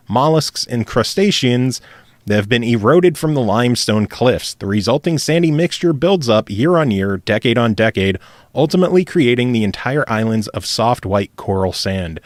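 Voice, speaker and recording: A man's voice: medium pitch, no echo. A man hosting a casual gaming podcast, reading listener emails aloud and laughing often. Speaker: podcast host.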